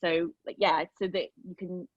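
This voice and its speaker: A woman giving casual, appreciative feedback as a cast member, speaking in halting phrases. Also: lowish voice, level tone, lo-fi sound. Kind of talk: casual discussion.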